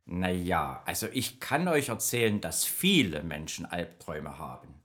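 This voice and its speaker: A man's voice: deep voice, big pitch swings, unhurried, fairly bright tone, heavily acted. A man voicing a friendly, chatty nightmare spirit who patiently explains dreams in a children's radio play. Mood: explaining. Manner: explains patiently, evasive then informative.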